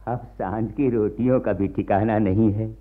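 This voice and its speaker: An actor playing a poor, god-fearing peasant in a radio play, deferential and pleading, with a rural Awadhi-flavoured North Indian accent. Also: male voice, lowish voice, fairly even intonation, normal speed, close to an everyday voice. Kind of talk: Hindi radio play acting. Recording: muffled lo-fi radio archive recording.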